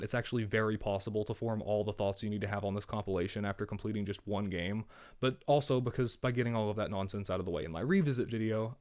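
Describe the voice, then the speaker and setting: A man's voice: mid-range voice, darkish tone. A man narrating a scripted game review with wry, sarcastic asides, his voice worn from a cold. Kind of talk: scripted video essay narration.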